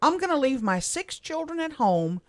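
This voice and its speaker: A middle-aged woman from Louisiana, a radio co-host, speaking softly and with emotion as she reads a personal letter on air in a studio. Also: high-pitched, very animated, normal speed, no echo. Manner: heartfelt, soft-spoken, emotional.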